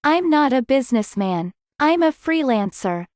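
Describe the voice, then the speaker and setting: A woman reading scripted English lesson dialogue, slowly and clearly, in carefully spaced sentences. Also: high-pitched, sing-song, a little mellow, dry recording, clean studio sound.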